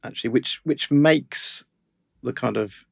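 A man's voice: mid-range voice, measured pace, creaky, breathy edge, dry recording. A man who is a digital director at a bookseller, talking as a guest in a studio interview and explaining his points with examples. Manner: thoughtful, explains with examples, frequent restarts. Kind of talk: conversational interview. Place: podcast studio.

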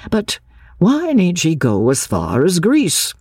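A woman's voice: on the low side, huge pitch swings, mellow, husky, dry recording, clean studio sound, pitched above the performer's own voice, full character voice. A woman reading a storybook as a courteous, earnest and puzzled clergyman who speaks hesitantly. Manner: hesitant, halting, deliberate.

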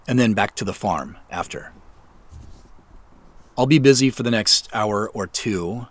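A man playing a wary, dry-witted amateur sleuth in an audio drama, guarded and terse in his delivery. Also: fairly low pitch. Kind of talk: naturalistic audio drama acting with first-person narration.

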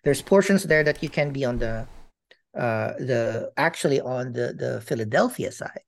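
A man giving personal updates in a casual chat, rambling and halting with many fillers and casual swearing. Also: medium pitch, animated, creaky.

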